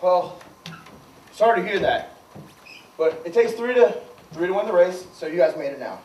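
A man plays a game show host on a church stage, his voice lively and swinging up and down. He sounds far from the mic in a roomy hall. Soft music sits underneath. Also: sits high, moderate pace, slight background noise. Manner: announcer-like, lively. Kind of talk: live stage skit hosting. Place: church hall stage before a children's audience.

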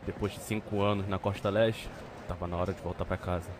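A man's voice: low-pitched, level tone, a little fast, noisy background, natural voice. A man voicing a streetwise, defensive young gangster in a Brazilian game dub, slangy and indignant. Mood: weary. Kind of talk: fan dub game acting.

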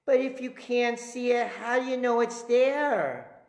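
Guy doing a high little kid voice from a storybook, anxious n curious, bit roomy. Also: a little slow, gravelly, exaggerated voice. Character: anxious young twin children, curious and clingy. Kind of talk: storybook read-aloud.

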